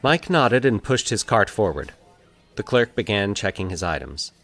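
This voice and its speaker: A man's voice: fairly low pitch, very animated, normal speed, fairly bright tone. A man reading scripted fiction as the narrator of a story.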